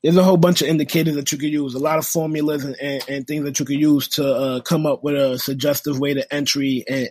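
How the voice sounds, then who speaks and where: A young man from New with a husky voice, a podcast guest talking earnestly and motivationally in casual slang. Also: a little high. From New York. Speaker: guest, music industry worker and forex trader.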